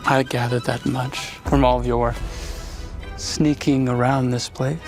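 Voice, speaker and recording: A man's voice: mid-range voice, little pitch movement, a little slow, lightly acted. Aloof, sardonic duke played by a male actor. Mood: cool, commanding. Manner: dry, curt, sardonic. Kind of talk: audio drama acting. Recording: music under the voice. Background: music.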